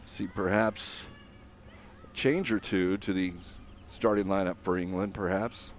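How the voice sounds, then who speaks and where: A man giving live play-by-play commentary on a soccer match, steady and filler-heavy with frequent pauses. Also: low voice, slight background noise.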